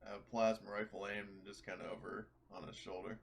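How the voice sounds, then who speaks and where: Slightly gravelly man at the gaming table. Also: on the low side, level tone, a little fast. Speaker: tabletop game player. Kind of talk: casual game chat.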